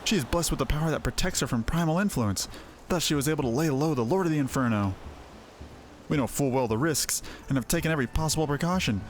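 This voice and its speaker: A man voicing a solemn, learned adventurer in a fantasy game, speaking in a formal, measured manner. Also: fairly high pitch, animated, a little hiss or hum, close to an everyday voice. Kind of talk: voiced fantasy game dialogue. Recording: music under the voice.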